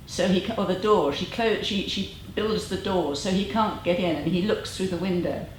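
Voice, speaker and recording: Female participant with a slightly low voice, conversational storyteller, heard in a highly reverberant space. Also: moderate pace. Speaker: group member commenting. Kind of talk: informal storytelling. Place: meditation group meeting room. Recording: very echoey room, faint noise.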